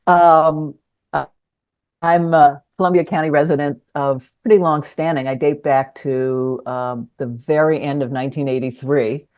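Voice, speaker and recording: A woman's voice: sits low, steady tone, unhurried, muffled recording. Older woman, thoughtful and hesitant. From New York City. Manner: thoughtful, hesitant with many ums. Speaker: interview guest, lawyer and writer. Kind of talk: conversational interview.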